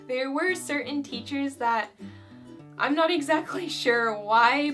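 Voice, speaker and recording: A young woman from Finland chatting to her viewers in a bright, slightly high voice. She talks loosely and freely, with plenty of "you know" and "like" fillers. Soft music plays faintly under her. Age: young adult. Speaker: vlogger. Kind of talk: casual chat to camera.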